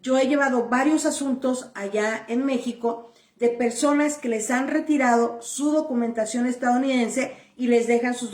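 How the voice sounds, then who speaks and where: A woman's voice: a little high, darkish tone, far from the mic. A woman giving blunt immigration advice straight to her viewers, answering their questions in a firm, repetitive way. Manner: firm, blunt and repetitive, stressing points plainly. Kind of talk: direct-to-camera advice video. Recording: roomy echo.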